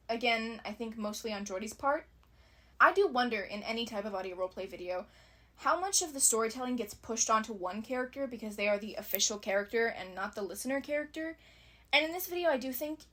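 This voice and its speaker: Female video essayist, slightly high voice; conversational scripted analysis, opinionated, with dry wry asides. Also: fairly bright tone.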